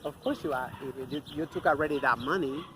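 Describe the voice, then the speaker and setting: A man's voice: fairly high pitch, quick pace, noisy background. Angry man ranting outdoors. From Mexico. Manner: angry, profane, scornful. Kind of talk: off-the-cuff ranting monologue.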